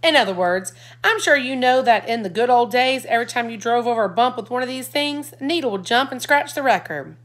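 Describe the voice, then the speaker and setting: Woman doing a goofy show-off dad, salesman patter, pitched up and loud. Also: lively intonation, a little fast, few pauses. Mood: showy pitch. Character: cheerful showy father, playful man.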